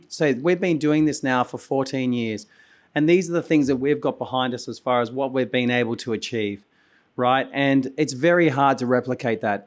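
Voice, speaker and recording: Aussie guy on stage at a conference, voice going hoarse, coughs, keeps restarting his words. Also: mid-range voice. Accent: Australian. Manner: energetic, repeats words, restarts phrases. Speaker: conference host. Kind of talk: conference keynote speech.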